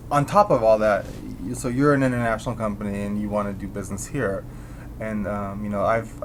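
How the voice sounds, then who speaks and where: A male interview host with a fairly low voice asks loose, rambling questions packed with fillers. There is faint noise behind him in the recording. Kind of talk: casual interview hosting.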